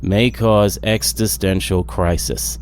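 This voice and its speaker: A man voicing a weary tinkering mechanic with a slow deadpan delivery. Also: deep voice, a little hiss or hum, voice pushed down.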